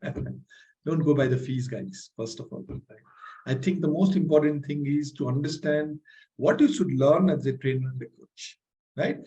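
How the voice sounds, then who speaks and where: Energetic male trainer, fairly high pitched, motivational, with a slightly strained voice. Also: measured pace, highs cut off. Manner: energetic and motivational, tags sentences with "right?". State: voice strained from talking loudly. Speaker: leadership trainer hosting a masterclass. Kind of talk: live online masterclass with audience questions.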